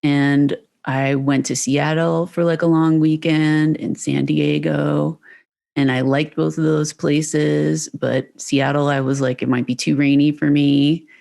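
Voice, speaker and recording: Woman, from Pennsylvania, podcast guest, gravelly, dark tone, soft-spoken. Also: deep voice, level tone, a little slow. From Pennsylvania, United States. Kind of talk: casual interview chat.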